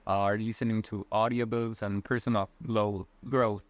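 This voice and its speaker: A man's voice: on the low side, little pitch movement, moderate pace, dry recording. Ecuadorian man in his twenties, friendly. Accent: Latin American Spanish. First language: Spanish. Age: 20s. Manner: friendly, hesitant, many fillers and restarts. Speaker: interview guest. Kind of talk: casual conversational answers.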